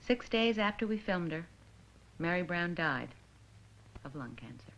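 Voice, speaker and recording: Low-voiced woman narrating a documentary. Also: lively intonation, measured pace, a bit bright. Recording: dull old educational film soundtrack, music behind.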